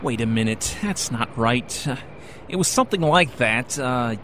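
A man's voice: medium pitch, lively intonation, normal speed, fairly bright tone, a little hiss or hum, natural voice. An actor playing a blunt, flustered everyman dragged into a fantasy audio drama. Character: brash, exasperated everyman pulled into fantasy realm.

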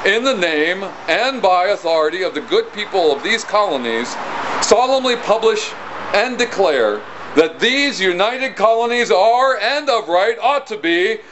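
High-pitched colonial orator, played by a man. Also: expressive. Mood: solemn, proclaiming. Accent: American. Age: middle-aged. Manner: declamatory, projected, measured. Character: solemn colonial townsman proclaiming a public document. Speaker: costumed historical reenactor. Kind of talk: formal public reading. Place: outdoors on a stone house porch. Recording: muffled outdoor recording with clear ambient noise.

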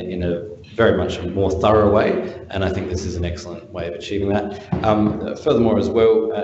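A man speaking as a city councillor at a council meeting, measured and full of "um" and "uh". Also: sits low, a little mellow, a little hiss or hum. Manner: measured, with frequent "um" and "uh". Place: large formal council chamber. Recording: echoey chamber, lo-fi.

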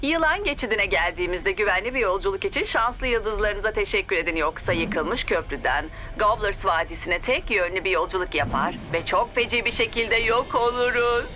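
A woman's voice: medium pitch, expressive, moderate pace, hardly any pauses, noisy background, natural voice. A woman playing a train tour announcer in a dubbed cartoon, giving theatrical, scripted guide patter. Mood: mock-dramatic patter. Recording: very loud music under the voice.

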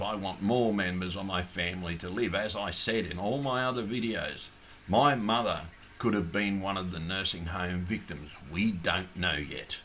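A man with a hoarse, croaky voice from talking all day, giving an angry political rant with heavy swearing. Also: low voice, slight background noise. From Australia. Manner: swears heavily, rises to shouting. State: hoarse, losing his voice. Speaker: activist vlogger.

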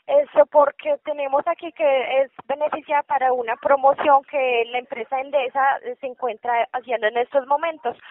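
Una mujer teleoperadora que hace una venta por teléfono con un discurso paciente y algo titubeante. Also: female voice, sits high. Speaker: telemarketer selling electricity offers. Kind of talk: sales phone call. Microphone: telephone. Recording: muffled, tinny phone line.

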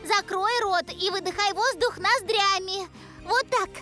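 A sweet little cartoon girl dinosaur. She speaks gently and slowly in a sky-high childlike voice, with clear music behind her. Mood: coaxing.

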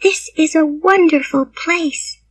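A woman's voice: very high voice, takes it slowly, no room sound, recorded from a distance, voice pushed up, poor audio. A woman voicing a talkative, dreamy and emotional orphan girl in a storybook, with an earnest, dramatic manner. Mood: wonder. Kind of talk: storybook character voice.

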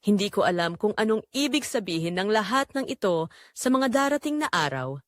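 A woman's voice: mid-range voice, big pitch swings, fairly quick, dry recording, clean studio sound, natural voice. A woman with a Filipino accent playing a tender, long-neglected middle-aged wife and mother, narrating her own romantic audio drama in an introspective, confessional way. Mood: reflective, moved.

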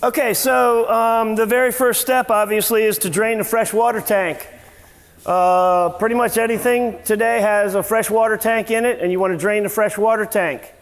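Middle-aged man, service manager, high-ish voice, loose and chatty with lots of "um"s, echoey garage, far-off camera. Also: steady tone, moderate pace. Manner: casual, rambling, many fillers and restarts. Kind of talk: informal seminar talk. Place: large workshop garage indoors. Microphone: far camera, no mic on him.

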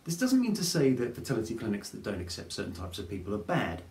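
Man explaining clearly, roomy studio. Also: on the low side, wildly swooping pitch, a little mellow. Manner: clear, measured, explanatory delivery. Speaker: fertility advice presenter. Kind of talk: scripted explainer to camera. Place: plain studio with grey backdrop.

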